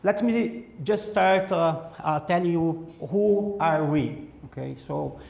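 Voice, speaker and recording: A man from Brazil with a Brazilian accent, giving a conference presentation in a halting way with many fillers and restarts. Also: on the high side, gravelly, a little mellow. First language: Portuguese. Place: conference room. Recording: echoey room.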